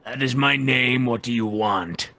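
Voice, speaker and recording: A man's voice: medium pitch, unhurried, sharp tone, no room sound. A man playing a sinister, barely human young villain in a Gothic radio play, curt, mocking and menacing. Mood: cold, guarded.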